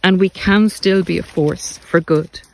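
A man giving a motivational spiritual talk as a podcast host, speaking earnestly and deliberately, with repeated phrases for emphasis. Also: sits high, steady tone, gravelly, darkish tone. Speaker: podcast host, narcissistic abuse recovery coach.

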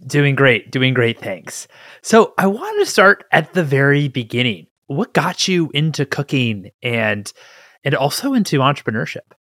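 A man's voice: mid-range voice, big pitch swings, a little slow, fairly bright tone, no echo, studio quality. A man hosting a business podcast, who asks friendly questions and sums up his guest's answers. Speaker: podcast host. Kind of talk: interview questions.

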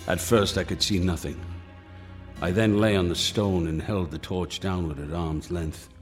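An actor playing a grave, haunted gentleman investigator, narrating a gothic horror radio drama in formal, theatrical language with halting dramatic pauses. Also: low voice, fairly even intonation, lightly acted. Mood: grim dread. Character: horror-struck gentleman investigator recounting a descent into madness. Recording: music behind the voice. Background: music.

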